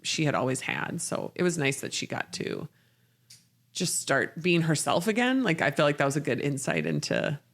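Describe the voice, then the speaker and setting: A woman's voice: deep voice, lively intonation, moderate pace, creaky. A woman hosting a podcast, chatting casually and informally about books. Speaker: podcast host. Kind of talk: casual book-discussion chat.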